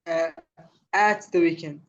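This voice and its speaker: A learner from Saudi Arabia answering English questions slowly, hesitating and filling gaps with "uh". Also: medium pitch, fairly bright tone. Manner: hesitant, halting, many fillers. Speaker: English student. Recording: muffled, faraway call audio.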